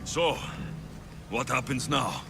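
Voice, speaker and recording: An actor playing a wary, principled ancient Greek mercenary hero in a video game cutscene, terse and sceptical. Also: male voice, mid-range voice, expressive, takes it slowly, vocal fry. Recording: music playing behind the voice.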